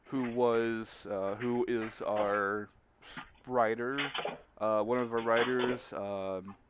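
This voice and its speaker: A man hosting a casual group livestream, talking in a halting, informal, slangy way. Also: mid-range voice, steady tone, noisy background. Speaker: livestream host and show organizer.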